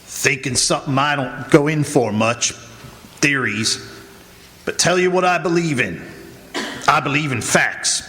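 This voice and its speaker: A man's voice: fairly high pitch, measured pace, long pauses, sharp tone, slight background noise. An actor playing a blunt, impatient small-town man with clipped, folksy speech in a 1940s-style radio drama. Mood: brisk, blunt. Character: blunt, impatient small-town planter who trusts facts. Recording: live stage, long hall reverb.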